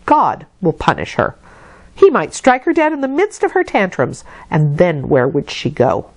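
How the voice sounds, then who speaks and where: A woman's voice: fairly low pitch, wildly swooping pitch, normal speed, dry recording, full character voice. Woman as a scandalised, scolding lady's maid. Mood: sanctimonious. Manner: scolding, prim.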